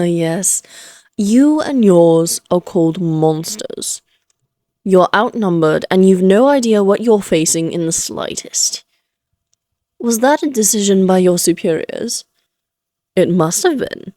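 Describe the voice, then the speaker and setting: A woman's voice: mid-range voice, mellow, no room sound, clean studio sound. A woman playing an elegant, condescending female vampire in a Victorian fantasy audio drama, speaking in a poised, archly formal, teasing manner. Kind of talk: scripted roleplay audio drama monologue.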